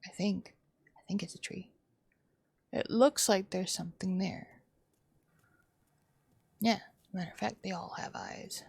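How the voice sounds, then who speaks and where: A woman's voice: medium pitch, measured pace, creaky, pauses often, darkish tone, breathy edge, no echo. A woman live streaming a game, giving casual commentary as she plays. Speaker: live streamer playing a visual novel.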